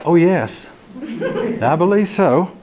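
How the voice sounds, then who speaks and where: A man's voice: high voice, lively intonation, slow pace, darkish tone, poor audio. A man doing a dry, unflappable homeowner woman. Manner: dry, understated, firm.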